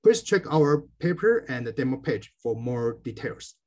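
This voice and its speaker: A man with a slightly husky voice gives a careful, formal scripted academic presentation as a researcher. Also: medium pitch, big pitch swings, moderate pace, a little mellow.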